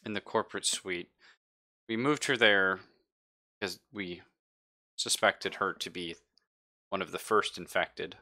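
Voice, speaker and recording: A man's voice: sits low, unhurried, pauses often, sharp tone. A man talking his way through an improvised tabletop roleplaying session.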